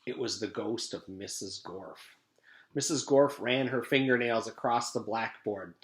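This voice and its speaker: A man's voice: lowish voice, a little slow. A man reading a children's story aloud as a teacher would to a class. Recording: echoey room, home-made reading.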